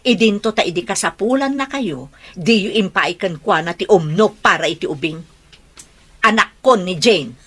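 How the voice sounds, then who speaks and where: An angry foster mom in a radio play, scolding hard, bright voice, big pitch swings. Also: female voice, animated, normal speed, breathy edge. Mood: furious. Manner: confrontational, scolding. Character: fierce protective foster mother, woman, middle-aged. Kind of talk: Ilocano radio drama acting.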